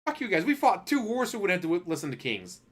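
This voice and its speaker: A man's voice: high-pitched, huge pitch swings, fairly bright tone. Profane, ranting male anime reviewer. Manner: acts out characters in quick asides. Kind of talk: casual spoken review.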